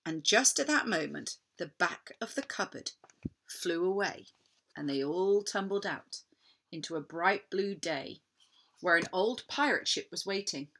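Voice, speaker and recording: A woman narrating a children's story in an expressive, deliberate, dramatic storytelling manner. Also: on the low side. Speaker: storybook reader.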